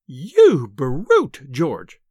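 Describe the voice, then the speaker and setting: Guy doing a tiny angry wife from an audiobook, high shrill scolding, swoops all over the place. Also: wildly swooping pitch, normal speed, a bit bright, no echo, studio quality, exaggerated voice. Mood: furious. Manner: shrill, wailing, screaming outrage. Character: small, furious, scolding wife of a professor. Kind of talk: audiobook character voice.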